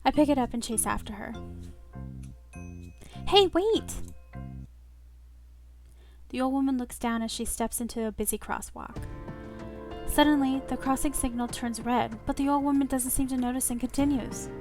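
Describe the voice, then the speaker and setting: A woman reading a visual novel aloud as expressive first-person story narration. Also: high-pitched, brisk. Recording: music under the voice. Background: clear background music.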